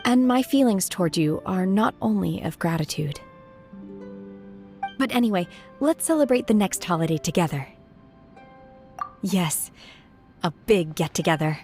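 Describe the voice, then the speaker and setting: Game role: reserved, earnest, awkward young female disciple; lowish soft voice, formal measured delivery, music behind. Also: expressive. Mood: tender, sincere. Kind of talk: video game character dialogue. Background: background music.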